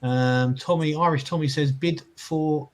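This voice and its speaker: A man's voice: on the high side, fairly even intonation, a little slow. Man reading out viewer comments. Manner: reads out chat comments, thinks aloud with restarts. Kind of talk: casual fan chat on a live stream.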